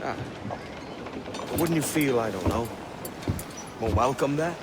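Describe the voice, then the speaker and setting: A man's voice: mid-range voice, expressive, measured pace, very noisy, lightly acted. A man playing a cocky, roguish young pirate captain in a video game cutscene. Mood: companionable. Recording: loud music behind dialogue.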